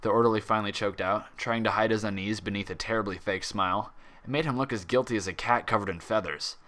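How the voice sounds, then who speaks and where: A man's voice: on the low side, quick pace, fairly bright tone. A man reading a story aloud as narrator, in a scripted, dramatic style. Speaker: fan fiction reader and narrator.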